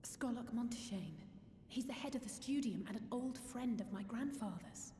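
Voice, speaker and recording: An actress playing a young female scholar in a game, quietly explaining. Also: a little high, expressive, moderate pace, natural voice. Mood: quiet, informative. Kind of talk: video game cutscene acting. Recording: distant, echoey, faint noise.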